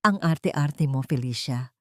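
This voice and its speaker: A woman's voice: rumbling low, measured pace, dry recording, studio quality. A woman voicing a cold, scornful older mother-in-law who scolds with indifference in an audio drama.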